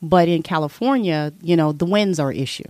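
Low-voiced woman telling her life story. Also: brisk, a little mellow, no room sound. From born abroad, raised in military family. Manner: chatty, storytelling, many "you know" fillers. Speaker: interview guest. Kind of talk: casual life-story interview.

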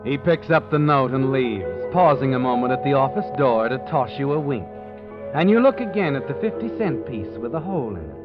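An actor playing an ominous narrator who taunts the listener in second person, in a 1940s radio drama. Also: male voice, medium pitch, very animated, fairly quick, over music, close to an everyday voice. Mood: mocking. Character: ominous all-knowing male narrator, mocking. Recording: dull old radio recording.

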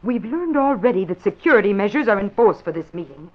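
A woman's voice: medium pitch, animated, brisk, natural voice. An actress playing a nervous, elegant, skeptical female spy in a vintage radio drama. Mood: anxious, insistent. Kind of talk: 1950s radio drama acting. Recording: dull vintage radio recording.

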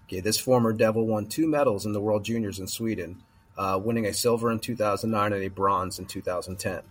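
A man's voice: on the low side, fairly even intonation, brisk. A man hosting a podcast hockey quiz, reading out clues and adding offhand comments. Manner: reads clues then adds offhand comments. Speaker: quiz host. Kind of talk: casual podcast chat, reading trivia clues.

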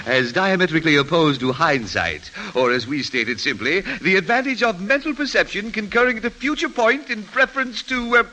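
A man's voice: high-pitched, big pitch swings, a little fast, few pauses, bright, forceful tone, a little hiss or hum, heavily acted, rough recording. An actor playing a fussy, pompous, long-winded male expert in an old radio comedy commercial. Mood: smug, pedantic. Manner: pompous, wordy, mock-scholarly. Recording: old radio broadcast recording.

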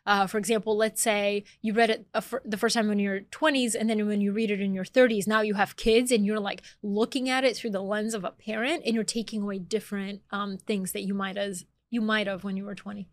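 A woman's voice: mid-range voice, fairly even intonation, brisk, few pauses, no room sound. A woman in her thirties, originally from Bulgaria and raised in the American South, talking casually as a podcast guest, with lots of "like" fillers and easy laughter. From born in Bulgaria, raised in Atlanta, Georgia. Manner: chatty, frequent "like" fillers, laughs easily. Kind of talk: casual conversational interview.

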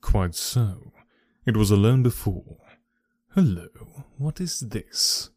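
A man reading aloud, voicing a calm, sharp-minded male detective who speaks smoothly and with quiet command. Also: lowish voice, takes it slowly, no echo, clean studio sound.

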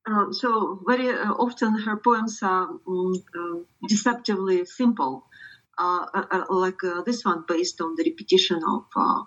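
A woman translator from Ukraine, a native Ukrainian speaker, who reads a poem and then speaks in a halting way with many pauses. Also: mid-range voice, a bit bright, lo-fi sound. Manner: halting, hesitant speech with many pauses and fillers. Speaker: poetry translator.

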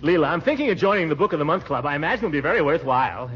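An actor playing a pompous, easily flustered middle-aged uncle in a 1940s radio comedy, hemming theatrically. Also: male voice, on the high side, very animated, rapid-fire, fairly bright tone, slightly rough, heavily acted. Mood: self-important. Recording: dull, noisy old radio recording.

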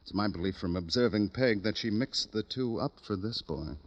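An actor playing a self-important, fussy book publisher in an old radio drama, talkative and pompous. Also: male voice, fairly low pitch, normal speed. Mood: confiding, pompous. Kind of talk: 1950s radio drama acting. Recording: dull old radio recording.

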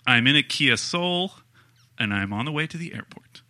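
A man's voice: mid-range voice, animated, moderate pace, sharp tone. A man on a podcast telling a dry, self-deprecating story about an early morning trip. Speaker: podcast cohost.

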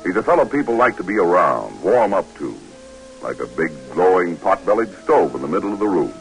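An actor playing a steady paratroop lieutenant, narrating his war memories admiringly in a 1940s radio drama. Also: deep voice, brisk, soft background music, low-quality recording. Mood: reflective, grave. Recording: old radio recording.

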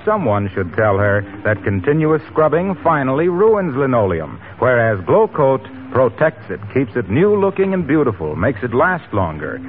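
A man with an American accent, a polished, salesmanlike announcer reading scripted copy in 1940s radio style. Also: medium pitch. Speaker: radio announcer and sponsor pitchman. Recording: tinny, hissy old radio recording.

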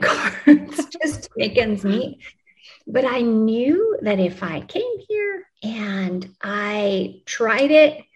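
Woman, from New Mexico, podcast guest, chatty, casual, mid-pitched. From New Mexico, USA. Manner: chatty, with frequent ums and laughs. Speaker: podcast guest, music publicist. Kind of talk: casual conversational interview.

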